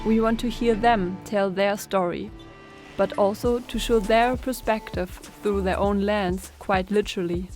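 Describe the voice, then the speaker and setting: A woman giving a measured, reflective scripted narration for a documentary. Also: mid-range voice, fairly even intonation, darkish tone. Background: music.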